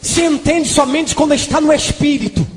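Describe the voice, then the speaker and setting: A man's voice: very high voice, moderate pace. A man with a Brazilian Portuguese accent preaching a Pentecostal testimony in a fervent, exclamatory manner. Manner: fervent, exclamatory, breaking into tongues. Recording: long hall reverberation.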